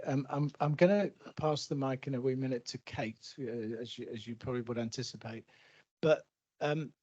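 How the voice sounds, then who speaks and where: A man answers a question at a public meeting in an earnest, emphatic way, often restarting his sentences. His voice sits at an ordinary middle pitch. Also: a little mellow. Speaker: NHS executive answering questions.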